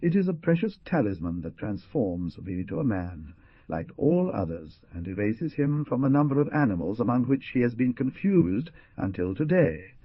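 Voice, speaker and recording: A man voicing a calm, kindly hunter who explains things in a measured way. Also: medium pitch, slightly rough, dry recording. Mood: explaining. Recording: dull lo-fi recording.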